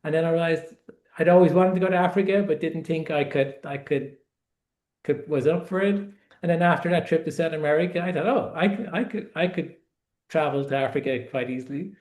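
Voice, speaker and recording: An Irish man in his forties, a guest telling his life story in a relaxed, reflective way, often repeating words as he gathers his thoughts. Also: on the high side, fairly quick, roomy sound. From Ireland. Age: 40s. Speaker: guest author. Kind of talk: casual interview storytelling.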